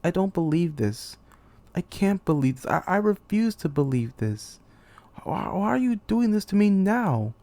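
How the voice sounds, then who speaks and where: Man acting a despairing young commander, creaky voice. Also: high-pitched, sing-song, a little mellow, no room sound, heavily acted. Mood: pleading disbelief. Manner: theatrical, halting, anguished delivery. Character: despairing, betrayed young male military commander in a deadly game. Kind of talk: dramatic monologue, anime-game fan voice reel.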